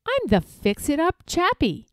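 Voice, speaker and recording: A woman voicing a sly, peppy male huckster with smooth, showy sales patter in a storybook reading. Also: high-pitched, wildly swooping pitch, unhurried, dry recording, clean studio sound, pitched above the performer's own voice, exaggerated voice. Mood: peppy salesman.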